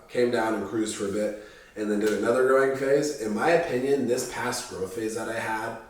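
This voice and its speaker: Young man, lowish voice, nasal and stuffed up, rambling casual chat, very echoey room, mic set back. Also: recorded from a distance. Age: 20s. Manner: laid-back, rambling, frequent ums and restarts. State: stuffy-nosed from a sinus infection. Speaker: bodybuilding vlogger. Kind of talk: casual talk to camera.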